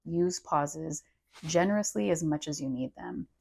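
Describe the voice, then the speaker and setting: A woman's voice: deep voice, measured pace, gravelly, a little mellow. A woman giving informal instructional tips in a conversational, explanatory way as a presenter. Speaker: presenter of language tips for parents.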